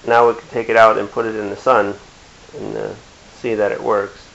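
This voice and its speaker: A middle-aged man giving a casual hands-on demonstration in a halting, plain-spoken way. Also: on the low side, flat delivery, creaky, a bit bright. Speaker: DIY video maker. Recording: dull lo-fi camera sound with hiss.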